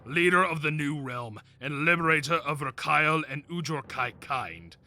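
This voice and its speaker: A man voicing a dutiful, pompous royal dragon attendant in an animated fantasy, formal and declamatory. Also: on the high side, normal speed, heavily acted. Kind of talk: animated fantasy drama acting.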